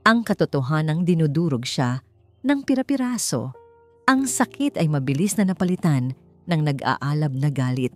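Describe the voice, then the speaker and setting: A woman's voice: low-pitched, big pitch swings, normal speed, darkish tone. A woman reading a romance story as dramatic scripted narration, with music playing behind her. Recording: music bed under the voice.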